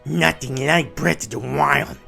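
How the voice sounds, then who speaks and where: A man's voice: fairly high pitch, fairly even intonation, unhurried, creaky, bright, forceful tone. Theatrical man reading over music. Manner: theatrical, exaggerated, shifting delivery from review to review. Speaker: narrator. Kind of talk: dramatic reading of user reviews. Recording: music behind the voice. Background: background music.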